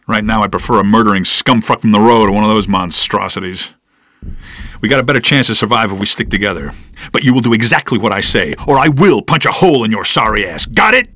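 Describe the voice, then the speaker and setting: Bossy prison guard, video game, bright hard voice, swinging intonation, threatening, dry sound. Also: male voice, lowish voice, animated, fast talker, no echo. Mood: gruff, menacing. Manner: threatening, barking orders. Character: hostile, foul-mouthed, bossy male prison guard.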